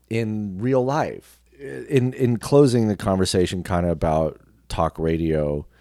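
Low-voiced man in earnest, halting conversation. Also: a little mellow, dry recording, clean studio sound. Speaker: radio personality and actor, interview guest. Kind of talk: casual reflective conversation.

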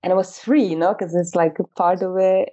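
Woman, guest on a podcast, mellow voice, lots of ums and restarts, slightly muffled audio. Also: lowish voice, fairly even intonation, brisk. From Moscow, Russia. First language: Russian. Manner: hesitant, many fillers and restarts, light laughs. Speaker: guest, fashion photographer. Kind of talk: casual interview chat.

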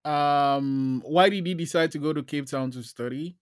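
A man's voice: fairly high pitch, normal speed, dry recording, studio quality. A man interviewing a guest in a casual, laid-back way.

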